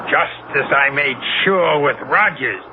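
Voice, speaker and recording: An actor playing a murderously jealous, domineering sea captain in a radio mystery, imperious and deliberate in his delivery. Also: a little high, lively intonation. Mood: murderous, obsessed. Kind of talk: 1970s radio mystery acting. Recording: noisy, tinny old radio broadcast recording.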